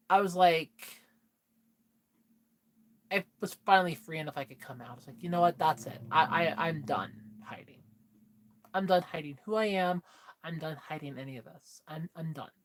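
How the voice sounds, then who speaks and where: A woman's voice: on the low side, sharp tone, poor audio. A woman in her thirties chatting candidly with viewers on a livestream, telling a personal story in a rambling way full of fillers. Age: 30s. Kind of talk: casual chat with viewers.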